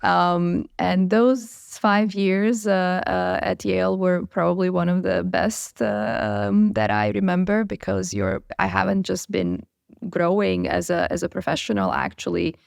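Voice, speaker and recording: A woman's voice: fairly low pitch, a little slow, vocal fry, no echo, studio quality. A woman in her forties from Croatia, a biotech chief executive answering interview questions thoughtfully, with frequent fillers and restarts. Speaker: biotech CEO, guest.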